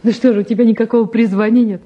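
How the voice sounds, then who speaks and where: An actress playing a calm young woman in a naturalistic drama, composed and lightly ironic as she probes and teases. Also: on the high side, very fast, highs cut off, natural voice. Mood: gently probing. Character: calm, gently teasing young woman photographer visiting. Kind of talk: naturalistic stage and radio drama acting.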